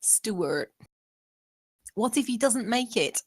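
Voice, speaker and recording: A woman's voice: a little high, expressive, slow, husky, no room sound, full character voice. A woman at a film table read playing a worried, defensive young woman with a fretful manner. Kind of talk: acted screenplay table read.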